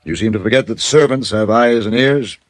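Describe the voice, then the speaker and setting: A man's voice: lowish voice, fairly quick, natural voice. An actor playing a middle-aged wealthy father, formal, commanding and condescending, in a vintage radio drama. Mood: stern, cold. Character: stern wealthy businessman father, courteous but snobbish. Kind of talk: 1950s radio drama acting.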